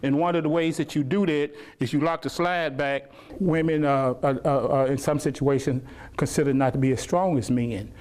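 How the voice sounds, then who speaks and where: A man speaks as a firearms and self-defense instructor. His voice sits at a middle pitch as he gives practical instructions and explanations. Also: steady tone, normal speed, a little mellow. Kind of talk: instruction and interview remarks.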